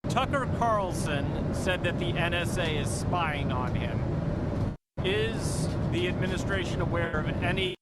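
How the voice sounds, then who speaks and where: A man's voice: lively intonation, measured pace. A man, a reporter, putting a formal press question aboard an airplane. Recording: very loud airplane cabin rumble, off-mic.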